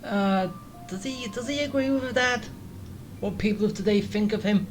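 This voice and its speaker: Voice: mid-range voice, moderate pace. A gamer giving casual, reactive let's-play commentary. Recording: game music under commentary.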